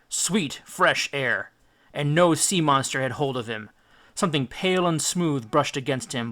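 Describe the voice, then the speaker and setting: Bright-voiced man narrating a dramatic storybook. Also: mid-range voice. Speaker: audiobook reader.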